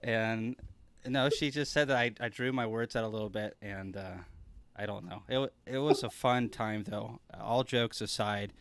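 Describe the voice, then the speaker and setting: A man's voice: mid-range voice, moderate pace, background noise. A man chatting casually as a guest, folksy and given to joking. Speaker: conference speaker, livestream guest. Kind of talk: casual chat.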